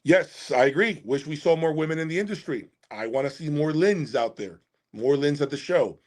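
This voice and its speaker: A man's voice: on the high side, animated, moderate pace. A man hosting a casual livestream about audio gear, answering viewers in an emphatic way and repeating phrases for emphasis. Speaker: host of an audio gear channel.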